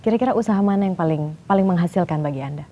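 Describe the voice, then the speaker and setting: A woman interviewing a guest, asking brisk questions and humming along as she listens. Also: fairly low pitch, lo-fi sound.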